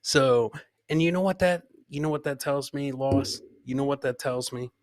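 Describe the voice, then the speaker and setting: A man's voice: mid-range voice, moderate pace, no room sound, studio quality. A man hosting a live comedy show, riffing on video clips in a boisterous, jokey way and repeating catchphrases. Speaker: podcast host.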